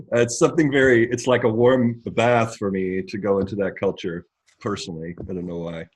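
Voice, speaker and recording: Husky, deep-voiced candid man. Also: level tone. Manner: candid, self-deprecating, halting. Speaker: discussion participant. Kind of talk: reflective group conversation.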